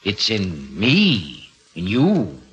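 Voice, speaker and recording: Brooding murderous gentleman narrator, vintage radio horror, widely swinging pitch, music underneath. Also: wildly swooping pitch, extremely slow, breathy edge, muffled recording, exaggerated voice. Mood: smooth, taunting. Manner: theatrical, brooding, hesitant repetitions. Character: obsessive, darkly philosophical man confessing to murderous urges. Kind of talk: 1940s radio horror acting, first-person narration and dialogue. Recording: vintage 1940s radio recording, music behind.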